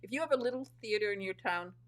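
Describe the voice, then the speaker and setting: An American woman from Michigan hosts the chat and gushes with enthusiasm. Her voice sits at an ordinary middle pitch, and she keeps the talk moving with eager questions. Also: lively intonation, fast. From Michigan, United States. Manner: enthusiastic, gushing. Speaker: podcast host. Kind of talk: casual interview chat.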